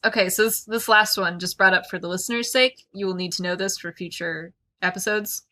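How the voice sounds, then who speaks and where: A woman's voice: mid-range voice, a little fast, bright, forceful tone. A woman co-hosting a podcast in casual book discussion, with wry, mocking commentary. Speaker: podcast co-host.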